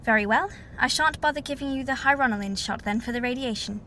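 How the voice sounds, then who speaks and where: A woman playing a brisk, courteous senior colony doctor in a science-fiction audio drama, with formal, old-fashioned phrasing. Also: sits high, sharp tone, a little hiss or hum.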